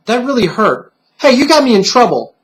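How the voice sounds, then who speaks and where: A man's voice: very high voice, very animated, normal speed, voice pushed up. A man voicing a defensive, sulky young girl with a plaintive manner in a storybook reading. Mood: indignant, confused.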